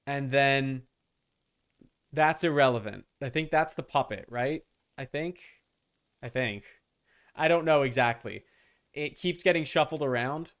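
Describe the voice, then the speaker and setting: A young adult man chatting casually as he streams a game, rambling and halting with repeated words. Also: mid-range voice, a bit bright, clean tone. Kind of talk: casual livestream chat while gaming.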